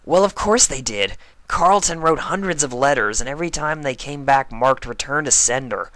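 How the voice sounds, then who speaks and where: A man's voice: mid-range voice, expressive, moderate pace, bright, forceful tone, no echo. A man voicing a brash, confident young female pegasus athlete in a cartoon fan story, talking casually and directly. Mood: frank, concerned.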